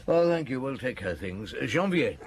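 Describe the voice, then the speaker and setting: An actor in an audio drama playing a seasoned French chief inspector, brisk and thoughtful, asking probing questions between pensive hums. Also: male voice, medium pitch, sing-song, slight background noise, lightly acted. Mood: businesslike, probing.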